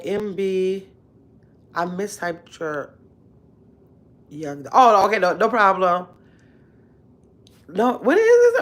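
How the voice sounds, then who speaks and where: A woman in her fifties, chatting casually on a livestream from her bedroom, reading viewer comments aloud in an animated, talkative way. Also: mid-range voice. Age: 50s. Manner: chatty, animated, drawn-out exclamations. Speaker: livestream host. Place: bedroom at home, indoors. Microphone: phone held close at arm's length.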